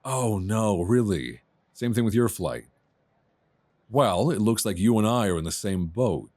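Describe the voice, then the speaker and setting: A man's voice: lowish voice, animated, unhurried, a little mellow, no room sound. A man playing a chatty, flirtatious, self-deprecating traveller in an intimate ASMR romance roleplay. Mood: friendly, wry. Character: friendly, flirtatious rough-and-tumble male traveller, a writer. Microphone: close binaural microphone.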